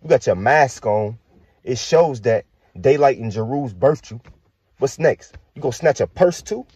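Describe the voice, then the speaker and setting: Young american guy doing a cappella battle rap, really raspy, cocky and aggressive, pitch all over, dry sound. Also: lively intonation, a little slow, dark tone, no room sound, muffled recording. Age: young adult. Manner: aggressive, boastful, taunting delivery. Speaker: battle rapper.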